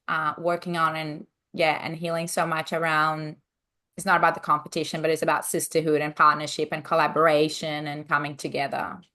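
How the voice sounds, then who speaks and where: A woman's voice: sits low, little pitch movement, a little fast, sharp tone. A woman from Brazil with a Brazilian accent, an upbeat coach chatting as a podcast guest. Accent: Brazilian Portuguese. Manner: upbeat, cheerleading. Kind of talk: casual interview chat.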